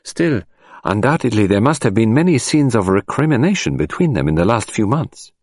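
Man playing an ironical detective, lively pitch. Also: moderate pace, no echo, clean studio sound. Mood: sympathetic. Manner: gentle, ironical, emphatic. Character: middle-aged Belgian male detective, courteous, shrewd, gently ironical. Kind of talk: audiobook character voice.

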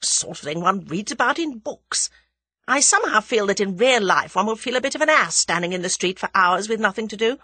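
A woman voicing a sensible, wry young English man in a dramatised audiobook, with a measured, sceptical manner. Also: mid-range voice, animated, pushed and bright, dry recording, clean studio sound, pitched above the performer's own voice. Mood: wry, mock-offended. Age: young adult.

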